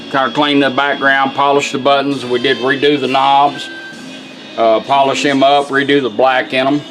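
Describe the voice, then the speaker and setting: A man's voice: mid-range voice, low-quality recording. Folksy Southern man over background music. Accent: Southern American. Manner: folksy, plainspoken. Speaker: radio restorer and product demonstrator. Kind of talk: casual product demonstration. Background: music playing.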